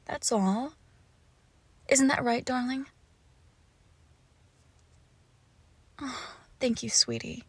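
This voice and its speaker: A woman playing a lovesick, possessive young witch in an intimate roleplay audio, cooing haltingly and tenderly with a creepy edge, often dropping into whispers. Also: fairly high pitch, fairly even intonation, creaky, slightly rough, dry recording, heavily acted. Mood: coaxing. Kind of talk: intimate roleplay audio acting, close to the listener.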